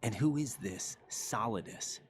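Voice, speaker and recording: An actor playing a wary young soldier in a video game cutscene, asking terse, skeptical questions. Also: male voice, medium pitch, expressive, a little slow, slight background noise, lightly acted. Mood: skeptical, probing.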